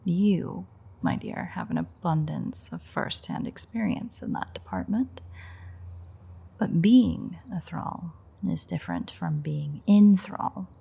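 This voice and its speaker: A husky, breathy vampire woman played by a voice actress speaks low and unhurried in an intimate audio roleplay. Her delivery is wry and teasing, with a dark predatory edge and a gravelly creak at the bottom of the voice. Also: huge pitch swings, exaggerated voice. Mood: teasing, explaining. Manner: wry, foul-mouthed, teasing.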